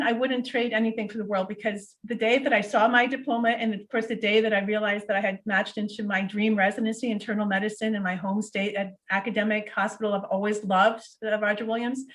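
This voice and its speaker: A middle-aged woman whose first language is Portuguese, guest in a casual interview, telling her story in an animated way with many fillers. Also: medium pitch, poor audio.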